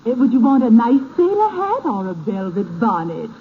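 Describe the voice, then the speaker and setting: A woman's voice: fairly high pitch, big pitch swings, moderate pace. An actress playing a patient, courteous hat shop saleswoman, coaxing a customer in a 1930s radio comedy. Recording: tinny, hissy 1930s radio broadcast.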